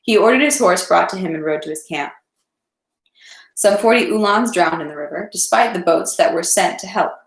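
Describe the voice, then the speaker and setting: A woman's voice: lowish voice, moderate pace, reverberant, rough recording. A woman reading a classic novel aloud in an unpolished amateur way, with occasional stumbles. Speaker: reader of a read-aloud series. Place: indoors at home, echoey room.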